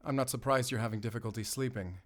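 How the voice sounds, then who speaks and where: An actor playing a mysterious, protective and wry German-speaking werewolf hunter in an intimate audio roleplay, soft-spoken and teasing. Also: male voice, medium pitch, quick pace, lightly acted. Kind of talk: cinematic audio roleplay, intimate bedtime storytelling.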